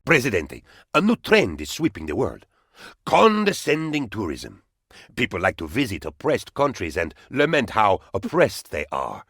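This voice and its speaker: Pompous, melodramatic, husky-voiced game henchman. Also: lowish voice, wildly swooping pitch, moderate pace, fairly bright tone, no room sound, studio quality, full character voice. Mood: scheming, muddled. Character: pompous, lovesick, paranoid male presidential aide, bumbling and self-important. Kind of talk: theatrical video game character voice lines.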